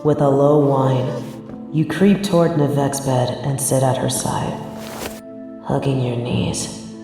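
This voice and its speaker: A man's voice: medium pitch, steady tone, slow. Echoing male story narrator. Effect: heavy reverb. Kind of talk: scripted second-person story narration. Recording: long echoing reverb, music underneath. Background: music.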